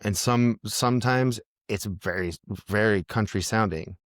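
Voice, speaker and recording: A low-pitched man talks about music in a loose, unhurried podcast chat. He circles his point, restarting sentences as he thinks. The sound is dry and close. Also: no echo. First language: English. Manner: rambling, thinks aloud with many restarts. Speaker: musician guest. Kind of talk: casual podcast conversation.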